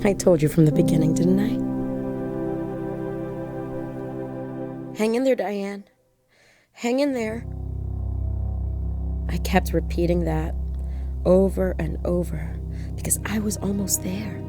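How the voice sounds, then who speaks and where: A woman's voice: lowish voice, measured pace, pauses often, music in the background, natural voice. A woman playing a haunted driver in a horror audio drama, confiding her eerie memories dramatically and directly to listeners. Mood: resolute, intense. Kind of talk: first-person horror audio drama monologue.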